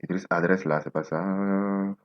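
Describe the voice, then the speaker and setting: A man in his twenties from Benin, whose first language is Nago, hosting a coding tutorial and thinking aloud slowly as he types, repeating words to himself. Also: low voice, fairly even intonation, dry recording, highs cut off. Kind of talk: casual screen-recorded coding tutorial.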